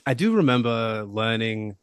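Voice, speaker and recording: A young man from Australia, a podcast guest, talking in a relaxed, thoughtful way with lots of fillers and hedges. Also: lowish voice, unhurried, clean tone, dry recording. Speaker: podcast guest, app founder. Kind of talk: casual interview conversation.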